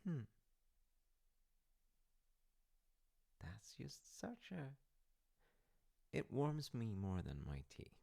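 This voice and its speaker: A man playing a gentle, reflective dad in an unhurried, intimate audio roleplay chat, gravelly and at times breathy. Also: deep voice, exaggerated voice. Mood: warm, relaxed. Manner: reflective, gently encouraging, pausing. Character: gentle wholesome dad chatting warmly over tea.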